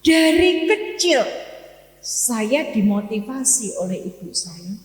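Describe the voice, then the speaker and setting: A woman in her sixties with a Javanese accent, preaching with personal stories in an emphatic, deliberate way, with pauses and repeated phrases. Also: fairly high pitch, big pitch swings. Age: 60s. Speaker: Islamic preacher giving a morning study lecture. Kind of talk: sermon with personal storytelling. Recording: echoey hall with long reverb.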